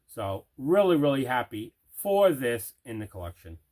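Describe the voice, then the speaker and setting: A man's voice: mid-range voice, sing-song, moderate pace, darkish tone. A man hosting a casual unboxing video, chatting enthusiastically and leaning on repeated words for emphasis. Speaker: host of a collecting unboxing video. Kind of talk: casual unboxing chat to camera.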